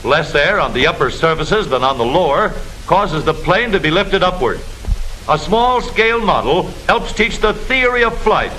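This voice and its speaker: A man's voice: fairly high pitch, very animated, moderate pace, sharp tone. An American man delivering scripted 1940s newsreel-style narration for a military training film, in a declamatory, instructive manner. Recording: noisy old film soundtrack.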